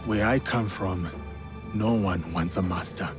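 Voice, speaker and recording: An actor playing a stoic, loyal, foreign-born samurai warrior in a video game, speaking tersely and solemnly. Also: male voice, sits low, measured pace. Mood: grave. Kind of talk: video game cutscene acting. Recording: loud music behind the voice. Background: music.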